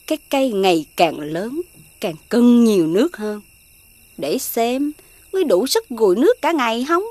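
A woman voicing a sneaky, spiteful servant creature in an animated fairy tale, excitable, fawning and conniving. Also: a little high, moderate pace, dry recording.

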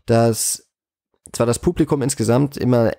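A man in a casual interview as a guest, slightly croaky from a cold, speaking in a hesitant, reflective way. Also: medium pitch, fairly even intonation, unhurried, mellow, dry recording, clean studio sound. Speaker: interview guest, cinema manager.

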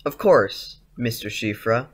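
An actor playing a dreamy, insolent schoolboy with a theatrical, poetic way of talking in an audio play. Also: male voice, mid-range voice, husky. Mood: innocently insolent. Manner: slow, theatrical recitation. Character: dreamy, insolent schoolboy reciting eerie old poetry. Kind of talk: audio drama acting, reciting poetry.

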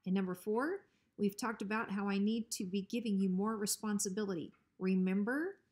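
A woman's voice: medium pitch, moderate pace, a little mellow, lightly acted. A woman voicing a pompous, bossy but cowardly male ranch dog in a children's storybook. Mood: officious. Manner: officious, blustering.

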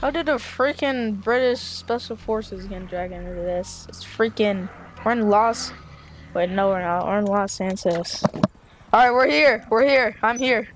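An excitable game player improvising zombie roleplay, blurting short exclamations into a home gaming mic. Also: medium pitch, unhurried, background noise.

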